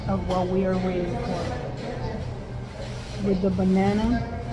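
A middle-aged woman talks casually to her camera as a food vlogger. Her pace is slow and halting, with words often repeated, and her pitch sits in an average range. Music plays clearly behind her voice, which is picked up at arm's length. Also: steady tone. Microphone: camera or phone at arm's length.

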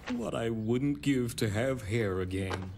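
Weary, slow-talking cartoon dad. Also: male voice, mid-range voice, big pitch swings, vocal fry, noisy background, exaggerated voice. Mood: wistful. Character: bald, weary, flustered middle-aged cartoon dad. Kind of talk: children's cartoon voice acting. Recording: music behind the voice.

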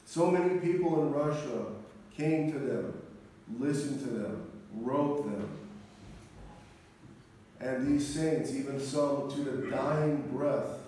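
A middle-aged man, an Orthodox priest, preaching a sermon in a solemn, deliberate manner with measured pauses. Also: mid-range voice, mellow. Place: large church interior, speaking from the front. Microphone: distant camera microphone. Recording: echoey church, distant mic, faint noise.

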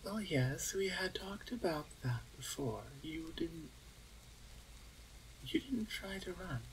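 Woman playing an orc huntress, reserved and protective, very slow ASMR delivery, hushed and hesitant, rainy background noise. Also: on the low side, expressive, low-quality recording. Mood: curious, hesitant. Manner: soft-spoken, hesitant, measured, often dropping to a whisper. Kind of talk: ASMR roleplay.

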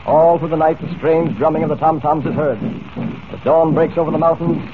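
A man's voice: fairly high pitch, fairly even intonation, brisk, lightly acted. Male actor as suspense-building western serial storyteller, 1940s scripted narration, narrow phone-like vintage broadcast sound. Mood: dramatic, suspenseful. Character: dramatic storyteller of a western adventure. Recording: tinny, noisy 1940s radio broadcast.

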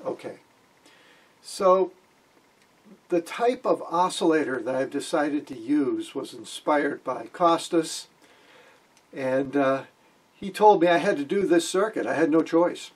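An older man with a husky voice gives an informal electronics tutorial in a folksy, wryly joking way. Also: on the high side, slow pace, pauses often. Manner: folksy, wry joking explanations. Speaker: amateur radio hobbyist, video host. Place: small wood-panelled room indoors.

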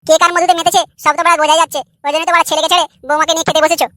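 A man's voice: very high voice, level tone, rapid-fire, bright, forceful tone. A man voicing a jealous, sneering husband in a comic cartoon, foul-mouthed and sarcastic. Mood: sneering, accusing. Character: jealous, crude, sneering adult son and husband.

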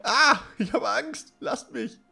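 A man's voice: extremely high pitch, takes it slowly, vocal fry, slightly rough, soft background music. A German man with a cold, chatting casually while commenting on gameplay, rambling and jokey. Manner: chatty, rambling, gets loud and jokey when panicking. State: has a cold. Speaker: let's play commentator.

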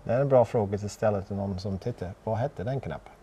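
A man's voice: on the low side, mellow, a little hiss or hum. A middle-aged man co-hosting a casual car chat with brief, easygoing remarks. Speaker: co-host. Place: small studio with a brick wall.

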